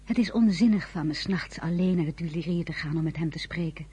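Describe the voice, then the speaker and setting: An actress playing a tender, anxious young wife and mother in a radio drama, with a soft, husky voice. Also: on the low side, fast talker. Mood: grave, reflective. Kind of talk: naturalistic radio drama acting and diary narration.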